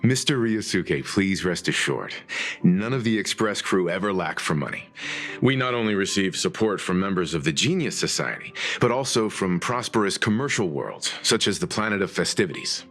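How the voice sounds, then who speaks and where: An actor playing a calm, wise middle-aged gentleman crew member in a video game, formal, measured and reassuring. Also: low voice, exaggerated voice.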